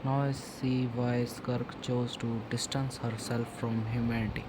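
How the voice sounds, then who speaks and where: A man reading video game dialogue aloud, haltingly, stumbling over and repeating words. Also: mid-range voice, little pitch movement. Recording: clearly noisy background.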